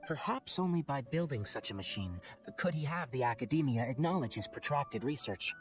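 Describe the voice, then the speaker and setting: An actor playing a thoughtful young forest scholar in a video game, explaining in a measured, analytical manner. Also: male voice, mid-range voice, animated, over music, close to an everyday voice. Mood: thoughtful, explanatory.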